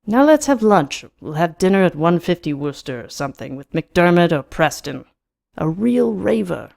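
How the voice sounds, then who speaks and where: A woman's voice: on the low side, sing-song, normal speed, gravelly, darkish tone. A woman playing a mocking, dismissive club-going man in an audiobook, drawling and emphatic. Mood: amused mocking.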